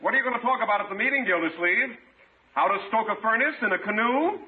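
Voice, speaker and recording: A man's voice: sits high, expressive, fast. An actor playing a grudging, sarcastic townsman with a curt manner in a 1950s radio comedy. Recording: thin, narrow 1950s radio recording.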